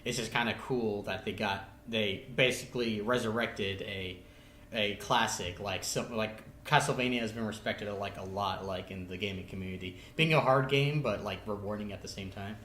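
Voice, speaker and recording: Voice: low-pitched, normal speed, fairly bright tone. A podcast guest chatting casually and enthusiastically about games in a rambling, filler-heavy way. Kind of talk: casual chat. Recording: echoey room, faint background noise.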